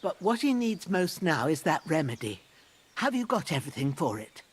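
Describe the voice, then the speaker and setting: Folksy older village herbalist, animated. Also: female voice, low voice, normal speed, slightly rough, heavily acted. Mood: brisk, practical. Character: kindly older village herbalist mother, brisk and practical.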